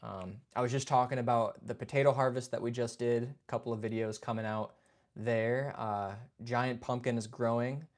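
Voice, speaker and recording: A man's voice: mid-range voice, steady tone, moderate pace. Laid-back young man hosting a livestream. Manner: laid-back, chatty, says "man" and "dude"; reads chat and greets viewers by name. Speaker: livestream host. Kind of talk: casual livestream chat.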